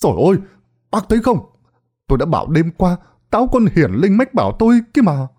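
A man voicing an excitable young village man, talking in a shrill, pleading, frantic way. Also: moderate pace.

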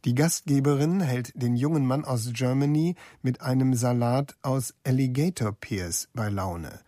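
A male narrator with a dark, mellow, mid-pitched voice delivers scripted radio narration. The sound is dry and close, with no room on it. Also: no room sound.

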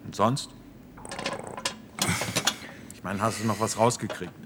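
An actor playing a sober, probing grown son who speaks and narrates matter-of-factly in a radio play. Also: male voice, on the low side, steady tone, takes it slowly, very noisy. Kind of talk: radio play dialogue and first-person narration.

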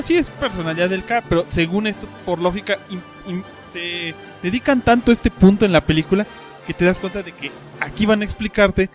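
A man with a Mexican accent co-hosting a podcast, explaining films in casual, slangy, halting talk. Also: high-pitched. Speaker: podcast co-host. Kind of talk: casual film chat.

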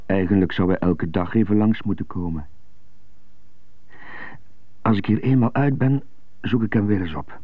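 An actor in a radio drama playing a wistful, defiant jailed jazz trumpeter who stutters and broods haltingly to himself. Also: male voice, lowish voice, no room sound, close to an everyday voice. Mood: brooding, wistful. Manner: halting, broody inner monologue. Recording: slightly muffled, phone-like narrow band.

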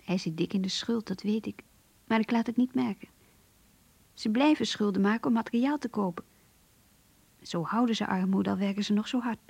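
A close, dry voice, mellow and breathy: a thoughtful young woman. She narrates intimately and reflectively, as if writing in a diary. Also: medium pitch, moderate pace, pauses often, no echo. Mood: confiding, tender. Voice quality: soft, breathy. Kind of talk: Dutch radio play monologue.

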